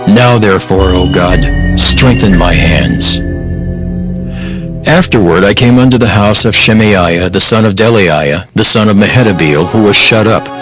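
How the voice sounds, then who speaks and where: An actor playing a steadfast governor of ancient Judah, narrating scripture in a solemn, measured, declamatory way. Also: male voice, fairly low pitch, natural voice. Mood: firm, defiant. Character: steadfast male governor of ancient Judah telling his story. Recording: music behind the voice.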